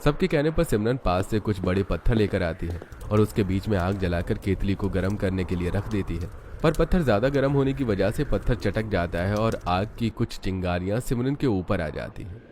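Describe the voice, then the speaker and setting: Narrator: man, low register, scripted cartoon storytelling, slightly noisy track. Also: animated, normal speed, few pauses, a little mellow.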